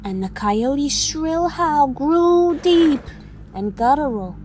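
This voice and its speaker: A woman's voice: high voice, lively intonation, takes it slowly, a little mellow, slight background noise. A woman acting in an audio drama as an exasperated storyteller, telling a mocking fable in a theatrical way. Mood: dramatic storytelling.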